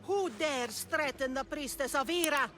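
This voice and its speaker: High-voiced, imperious priestess villain. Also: female voice, measured pace, bright, forceful tone, soft background music. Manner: pompous, commanding. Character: imperious, menacing Greek priestess, haughty and threatening. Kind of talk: dramatic video game cutscene acting.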